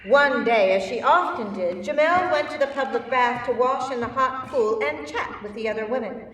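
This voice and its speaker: A woman's voice: on the high side, moderate pace, a little hiss or hum. Woman narrating a folktale in a hall. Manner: clear storytelling, expressive. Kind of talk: reader's theater narration. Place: university hall. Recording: echoey hall, mic far off.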